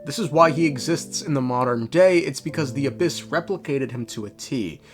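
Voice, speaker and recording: A man's voice: mid-range voice, normal speed, faint music behind. A man narrating a scripted story recap in an irreverent, slangy, tongue-in-cheek way. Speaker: video creator recapping game lore.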